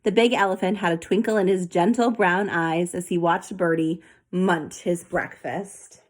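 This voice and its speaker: A woman reading a children's story aloud with an expressive, animated delivery. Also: fairly low pitch, unhurried. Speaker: storybook reader.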